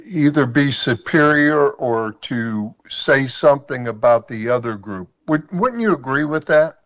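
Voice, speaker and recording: A man hosting a radio talk show, speaking in a measured, thoughtful, conversational way. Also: mid-range voice, animated, fairly bright tone. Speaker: radio host.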